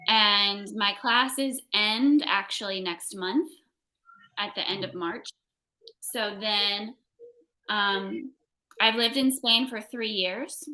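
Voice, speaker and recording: A woman's voice: medium pitch, slow pace, bright, forceful tone, low-quality recording. A young American woman tutoring English in a casual one-on-one conversation, phrasing things simply for a learner. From United States.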